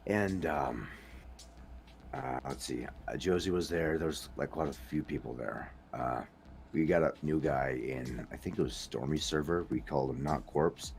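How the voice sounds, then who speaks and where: A man's voice: extremely low pitch, moderate pace, creaky, darkish tone, a little hiss or hum. A man with a Scottish accent hosting a casual chat podcast, who slips into accents as he talks. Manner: slips into accents while talking. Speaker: podcast host.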